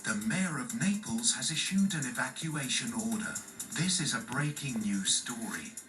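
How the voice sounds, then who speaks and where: A man's voice: mid-range voice, expressive, normal speed, bright, forceful tone. Male news presenter, echoey with music behind. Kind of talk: scripted breaking news bulletin. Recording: roomy, distant playback with music.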